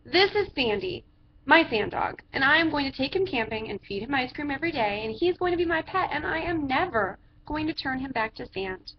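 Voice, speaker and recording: Woman reader playing confident picture-book girl, high and friendly, matter-of-fact; lo-fi sound with noise. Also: lively intonation, fairly quick. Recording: dull lo-fi sound with background noise.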